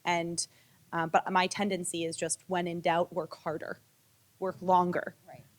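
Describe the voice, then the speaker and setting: A woman's voice: on the low side, flat delivery, unhurried. A woman speaking candidly and personally on a public panel, in a conversational way. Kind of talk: panel discussion.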